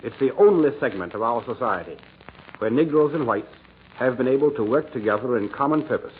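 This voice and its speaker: A man delivering formal scripted narration for 1940s radio in a measured, declamatory way. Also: medium pitch, expressive. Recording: scratchy, tinny 1940s radio recording.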